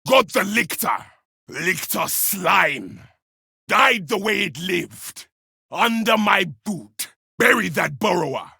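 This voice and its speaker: A zealous armored warrior from a combat video game, spitting contempt at fallen foes. The voice is very rough and husky, pitched fairly high, with big swings between snarl and battle cry. The delivery is forceful and declamatory. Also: male voice, animated, takes it slowly, no room sound, studio quality, full character voice. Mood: grim disdain. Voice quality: raspy, gravelly. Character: battle-hardened male Space Marine, contemptuous, zealous, wrathful warrior.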